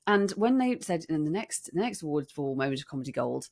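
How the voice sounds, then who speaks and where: Low-voiced British woman co-hosting a podcast. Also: very animated, brisk, vocal fry, a little mellow. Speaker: podcast co-host. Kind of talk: casual chat.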